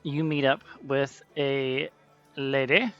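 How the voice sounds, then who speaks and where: A man's voice: mid-range voice, fairly even intonation, slow pace, clean tone, dull audio, faint music behind. A man narrating as game master of a tabletop game, laid-back and chatty with frequent laughs.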